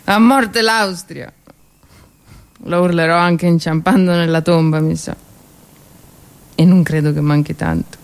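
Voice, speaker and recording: A woman performing a theatrical monologue as a drunk, lonely woman soldier in a First World War trench, rambling and halting in her speech. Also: on the low side, steady tone. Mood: bitter, tipsy. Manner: slurred, halting, tipsy rambling.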